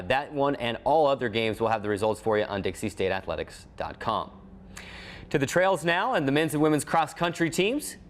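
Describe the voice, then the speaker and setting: An American man presents a sports program in a mid-pitched voice. He reads scripted narration like an announcer, setting up segments as the host. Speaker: sports show host and team broadcaster.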